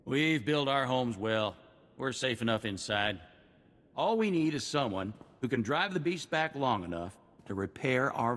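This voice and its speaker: A man's voice: mid-range voice, fairly bright tone, long reverb tail. A man voicing a folksy, grumbling but grateful frontier farmer in a video game. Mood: worried, stubborn. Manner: folksy rural speech, drops endings.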